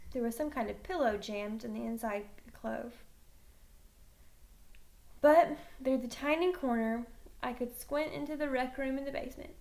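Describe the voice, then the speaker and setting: A woman reading a children's novel aloud in an animated, emphatic way, like a teacher narrating to a class. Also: on the high side, vocal fry. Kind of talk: expressive read-aloud of a children's novel, first-person narration.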